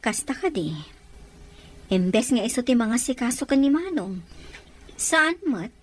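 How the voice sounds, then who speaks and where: A woman's voice: on the high side, lively intonation, measured pace. A woman acting in a radio drama as a suspicious, determined lady probing for answers. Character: suspicious, determined lady questioning her brother's caregiver.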